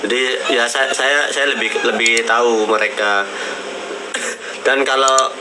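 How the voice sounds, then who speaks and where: A man's voice: medium pitch, fairly even intonation. A man chatting casually on a livestream, often repeating words as he recounts personal memories. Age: 20s. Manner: halting, repeats words and phrases. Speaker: livestream host. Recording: noisy live stream with clear background noise.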